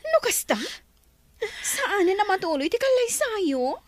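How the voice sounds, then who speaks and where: A woman's voice: very high-pitched, sing-song, takes it slowly, no echo, full character voice. An actress playing a concerned young female friend in an Ilocano radio drama, with an Ilocano accent. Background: music.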